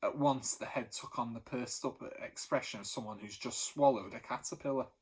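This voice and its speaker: Husky-voiced teacher guy reading a chapter book, medium pitch, lively and expressive. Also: a little fast. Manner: lively storytelling with animated emphasis. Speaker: primary school teacher. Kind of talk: reading a children's novel aloud.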